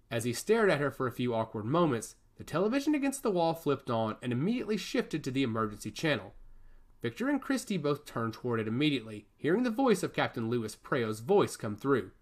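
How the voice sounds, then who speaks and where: Expressive male audiobook narrator. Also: mid-range voice, quick pace. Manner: expressive storytelling.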